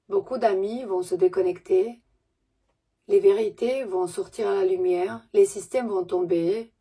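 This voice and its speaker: Very breathy slow-speaking woman. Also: mid-range voice. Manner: gentle, soothing, halting with pauses and self-corrections; speaks French as a second language. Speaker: spiritual messenger. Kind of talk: slow meditative spiritual message to viewers.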